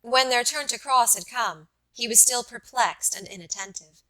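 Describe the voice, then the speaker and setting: A woman narrator reading literary fiction in a measured, carefully enunciated way. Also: on the high side, pushed and bright, poor audio. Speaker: audiobook narrator.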